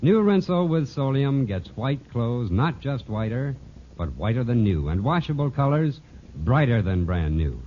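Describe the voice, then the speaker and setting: A man's voice: medium pitch, very animated, unhurried. A man working as a radio announcer, reading a scripted mid-century soap commercial. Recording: dull, hissy old radio broadcast.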